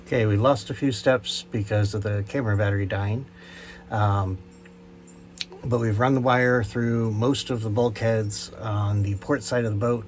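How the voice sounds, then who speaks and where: A man with a fairly low voice talks through a hands-on job in a casual, explanatory way. His delivery is matter-of-fact, like a vlogger showing his work. Clear background noise runs behind him in the cramped space. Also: steady tone, unhurried. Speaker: boat DIY vlogger. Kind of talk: casual how-to narration to camera. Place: cramped interior of a boat in a yard.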